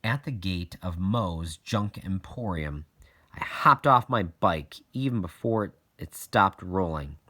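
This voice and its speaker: Low-pitched, animated male story reader. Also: slow pace. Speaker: audiobook reader. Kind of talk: reading a children's novel aloud in first person.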